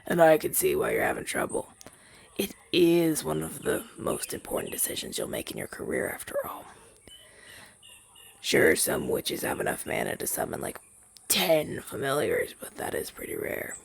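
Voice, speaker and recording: A man voicing a gentle, wistful demon familiar in an ASMR roleplay, soft-spoken and hesitant, in a breathy, husky half-whisper. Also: on the high side, wildly swooping pitch, moderate pace, heavily acted. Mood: encouraging. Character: gentle, wistful male rogue demon familiar left masterless. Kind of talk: ASMR roleplay audio drama, softly spoken to listener.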